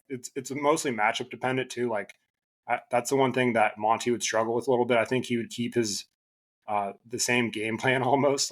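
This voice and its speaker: Flat-voiced man losing his voice. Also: on the low side. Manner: halting, many restarts and fillers. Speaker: podcast guest. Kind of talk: casual basketball podcast chat.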